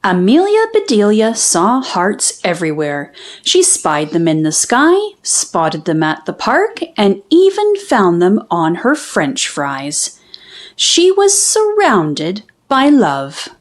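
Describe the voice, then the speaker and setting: A woman reading a children's storybook aloud as an expressive narrator. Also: mid-range voice, slow.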